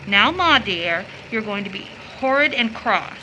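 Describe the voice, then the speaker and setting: A woman's voice: mid-range voice, expressive, measured pace, pushed and bright, slight background noise, rough recording. A young American woman acting a playful, affectionate, impulsive daughter in a period audio drama, with effusive, teasing, girlish delivery. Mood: playful, gushing.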